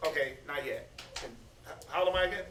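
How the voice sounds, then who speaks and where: A man's voice: on the high side, fast talker, sharp tone, faint music behind. A man in his thirties teaching scripture in a casual, preachy way, often stammering and repeating words. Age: 30s. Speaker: Bible study speaker. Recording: echoey room, distant mic, faint noise.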